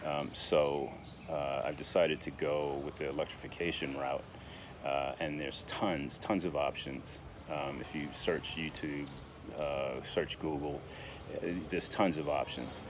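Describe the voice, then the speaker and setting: A man in his sixties with an extremely low voice that hardly rises or falls. He talks in a halting, casual way, full of fillers and restarts. Steady outdoor noise with passing vehicles sits clearly behind him. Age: 60s. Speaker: vlogger. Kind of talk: casual vlog monologue.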